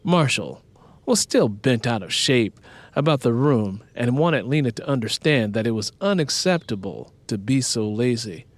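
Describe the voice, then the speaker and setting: Animated male storyteller, dry recording. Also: mid-range voice, normal speed, no echo. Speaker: narrator. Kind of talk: scripted audiobook narration.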